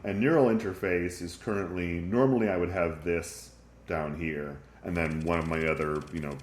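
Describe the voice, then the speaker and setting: A man's voice: deep voice, roomy sound, a little hiss or hum. A man narrating a casual gameplay walkthrough, thinking aloud with frequent ums, sniffly from a recent flu. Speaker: game tutorial narrator.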